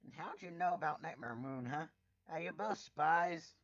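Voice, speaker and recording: A man's voice: fairly high pitch, normal speed, a bit bright, dry recording, lo-fi sound, voice pushed up. A man voicing a brash female pegasus pony in a story reading, confrontational and accusing.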